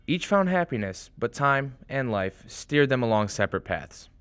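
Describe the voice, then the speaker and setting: A man's voice: mid-range voice, sing-song, moderate pace. A man reading scripted dramatic narration as the narrator of a radio play. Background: music.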